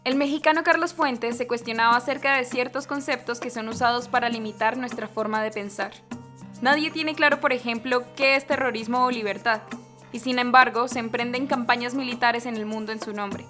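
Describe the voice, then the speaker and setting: Higher-voiced woman narrating over music. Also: steady tone, fairly quick, pushed and bright. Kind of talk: scripted documentary narration.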